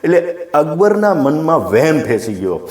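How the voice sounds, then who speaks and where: A man's voice: a little high, big pitch swings, moderate pace. A man with a Gujarati accent hosting and telling a moral story to viewers in an informal, explanatory way, repeating phrases for emphasis. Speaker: storyteller and channel host. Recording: echoey, roomy sound.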